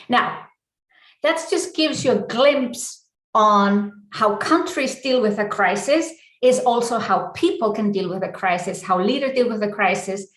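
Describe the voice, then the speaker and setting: A woman with an Icelandic accent leads a live online coaching presentation, speaking deliberately in short, emphatic phrases. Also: mid-range voice. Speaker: business coach and session host. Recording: echoey room.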